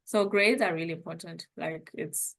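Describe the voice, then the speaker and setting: Chatty young woman from Zimbabwe, lowish voice. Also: normal speed, gravelly, a bit bright. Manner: hedging, chatty, laughs often. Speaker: guest, former international student. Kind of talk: casual interview chat.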